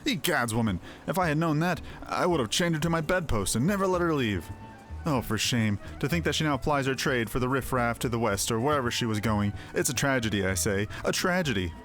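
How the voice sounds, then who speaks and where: A man's voice: medium pitch, lively intonation, brisk, a bit bright, soft background music, close to an everyday voice. A man voicing a lecherous, melodramatic resort master in a fantasy game, with florid, lamenting delivery. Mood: lovelorn, lamenting.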